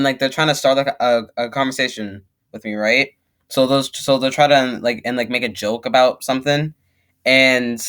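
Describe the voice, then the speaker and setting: Halting, slangy reactor with a mid-range voice. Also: medium pitch, fairly bright tone. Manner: halting, slangy, repeats phrases. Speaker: reaction video host. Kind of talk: casual reaction commentary.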